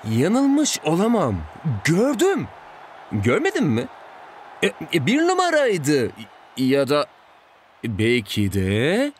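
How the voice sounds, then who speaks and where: A man voicing an excitable, suspicious, street-smart young footballer in a dubbed cartoon, talking in a slangy, animated way. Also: fairly high pitch, slow pace, a little hiss or hum, full character voice. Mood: flustered.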